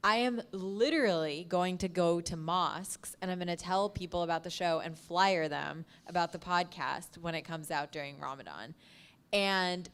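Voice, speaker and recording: Woman, somewhat low pitch, podcast panelist, practical, instructive, informal advice.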